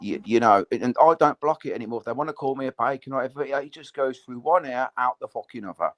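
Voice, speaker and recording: A man's voice: medium pitch, fast talker, a bit bright. A man in his forties, chatting casually on a livestream, rambling with many fillers and frequent swearing. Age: 40s. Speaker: guest on a livestream. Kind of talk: casual chat.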